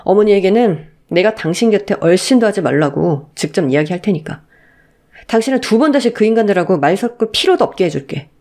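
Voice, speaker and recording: A woman's voice: medium pitch, animated, fairly quick, darkish tone, close to an everyday voice. A woman voicing a protective, indignant husband in a melodramatic audio story, blunt and earnest. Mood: resolute, subdued.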